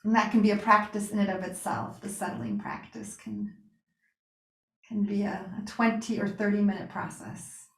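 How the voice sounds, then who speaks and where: A woman guiding a relaxation meditation with gentle spoken instruction, pausing and reassuring in a soothing way. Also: medium pitch, very animated. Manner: unhurried pauses, soothing reassurance. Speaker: yoga nidra meditation guide. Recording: roomy, echoing sound.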